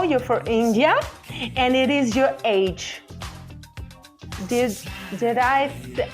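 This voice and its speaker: A woman in her forties speaks English with a Portuguese accent as she hosts a live call-in podcast. She talks slowly and chattily in a slightly high voice, pausing to search for words and laughing often. Faint music plays behind her. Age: 40s. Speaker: podcast host taking calls. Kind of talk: casual live call-in chat.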